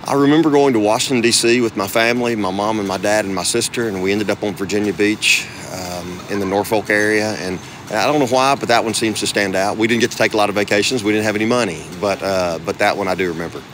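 Southern US man in his 50s, deep voice, street noise. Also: steady tone. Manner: halting, modest replies. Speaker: interviewee. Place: city sidewalk outdoors.

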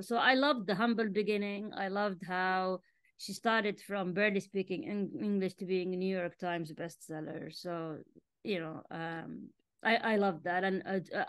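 A woman in her forties from Jordan, a native Arabic speaker, talking casually as a guest on a video call and often clearing her throat. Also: mid-range voice, no room sound. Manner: frequent throat clearing and fillers. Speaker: interview guest, author. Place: home room with bookshelves, indoors. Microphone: wireless earbuds on a video call.

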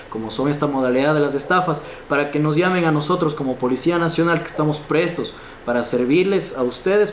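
A young man in his twenties with an Ecuadorian accent gives a formal, stiff official police statement for local news. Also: on the high side. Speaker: police chief, spokesman. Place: small indoor office, seated. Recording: echoey room with background noise.

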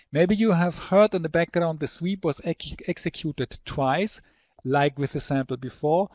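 Higher-voiced man presenting a webinar. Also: a little mellow, no room sound. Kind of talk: product demonstration and slide presentation.

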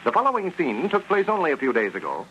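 A man's voice: fairly high pitch, wildly swooping pitch, fast talker, bright, forceful tone. A man announcing in old-time radio style, with a polished, cheerful scripted sales delivery. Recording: muffled, noisy vintage radio recording.

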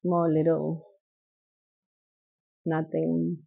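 Woman, thirties, from Costa Rica, lowish voice, muffled living-room recording, casual and laughing. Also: monotone, extremely slow, creaky, slightly rough. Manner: chatty, laughs often, trails off. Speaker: podcast guest. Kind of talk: casual interview. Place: living room, sitting on a couch.

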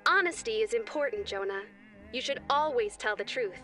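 A woman voicing a gentle, instructive angel rabbit who teaches moral lessons in a children's cartoon. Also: on the high side, expressive, normal speed, faint music behind. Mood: calm, instructive.

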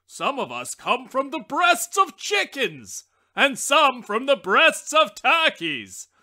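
A woman voicing a small, pompous male wishbone who brags loudly about his own importance in a storybook reading. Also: high voice, wildly swooping pitch, slow, pitched above the performer's own voice, full character voice. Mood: boastful. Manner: haughty, declaiming loudly.

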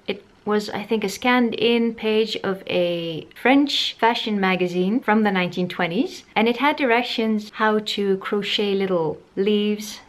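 A woman's voice: mid-range voice, a little slow, hardly any pauses. A woman in her thirties chatting to the camera from her living room as she shows a craft project, wondering aloud and poking fun at her own skills. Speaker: sewing vlogger. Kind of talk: casual tutorial talk to camera.

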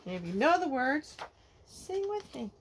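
Slow, high-voiced woman singing a picture book. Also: very animated, background noise. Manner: slow sing-song, singing the verses. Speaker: storyteller reading a children's picture book. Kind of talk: read-aloud sung picture book for kids. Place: home living room, indoors.

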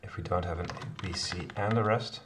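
A man's voice: low-pitched, fairly even intonation, fairly quick. A middle-aged man live-coding at home, thinking aloud in a hesitant way with many fillers and self-corrections. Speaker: programmer live-coding a kata. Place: home office, indoors. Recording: distant voice with clear background noise. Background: keyboard typing and clicks.

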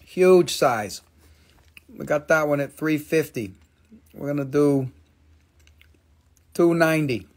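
A middle-aged man hosting a live sales stream, talking casually and excitedly, exclaiming and swearing as he shows stones at a table indoors. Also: on the high side, slow, pauses often. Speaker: live-stream gem seller.

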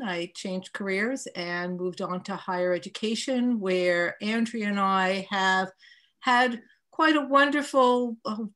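New York woman presenting in a measured way. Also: mid-range voice, pushed and bright. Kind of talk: conference presentation. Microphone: video call.

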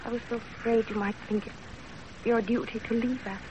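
An actress in 1930s radio drama style playing a gentle, dutiful young Japanese wife with earnest, formal phrasing. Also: female voice, on the high side, level tone, fairly quick, lightly acted. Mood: brave, restrained. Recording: dull, noisy 1930s radio recording.

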